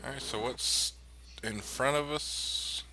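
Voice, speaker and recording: A man's voice: medium pitch, takes it slowly, noisy background. A man playing in a tabletop game who takes charge and hands out tasks to the others. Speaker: player. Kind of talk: casual tabletop play.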